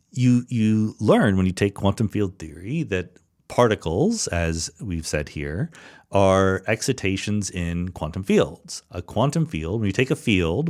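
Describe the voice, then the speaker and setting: A low-pitched man in middle age hosts a solo podcast, answering questions from listeners. His delivery is animated, with his pitch swinging widely as he works through ideas aloud, restarting phrases now and then. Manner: explanatory, thinking aloud with restarts. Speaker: podcast host.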